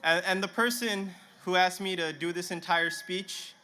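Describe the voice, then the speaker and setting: Young guy, punjabi first language, nervous jokey grad speech, bright voice, outdoor stadium podium mic. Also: sits high, steady tone, moderate pace, clear, resonant tone. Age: young adult. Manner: nervous, self-deprecating humor. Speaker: student commencement speaker. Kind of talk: commencement speech.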